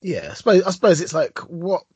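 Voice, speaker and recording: A man with a British accent co-hosting a podcast, talking excitedly and laughing a lot. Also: high voice, a little fast, slightly rough, no room sound. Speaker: podcast co-host. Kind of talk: casual chat.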